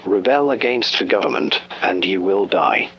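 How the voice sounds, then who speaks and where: A man's voice: deep voice, expressive, moderate pace. A man playing a ruthless government announcer delivering a formal, menacing emergency broadcast to citizens. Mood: cold, threatening. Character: ruthless authoritarian British regime announcer threatening citizens. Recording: dull, noisy radio-style broadcast with hum.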